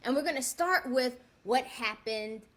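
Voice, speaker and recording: Chatty high-voiced woman in her fifties. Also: expressive, unhurried, a bit bright. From South Bronx, New York. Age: 50s. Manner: chatty, keeps asking viewers "right?". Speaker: livestream host. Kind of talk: casual livestream talk to viewers.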